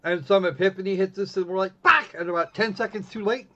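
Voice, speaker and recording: A man with a high-pitched voice hosts a casual game stream. He rambles wryly through his commentary, swearing freely and reading bits of on-screen text. The recording is dull and a little muffled. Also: quick pace, bright, forceful tone. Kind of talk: casual live commentary on a visual novel, reading text aloud.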